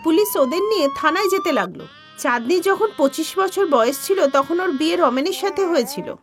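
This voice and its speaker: Very high-voiced Bengali woman narrator. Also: lively intonation, moderate pace, faint music behind. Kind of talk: scripted storybook narration.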